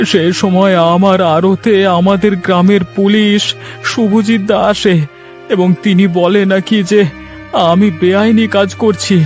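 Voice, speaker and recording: A man's voice: high voice, a little mellow. A man playing an anxious, wronged village fish merchant in a cartoon, with a pleading, plaintive manner. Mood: despairing. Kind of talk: Bengali cartoon story acting.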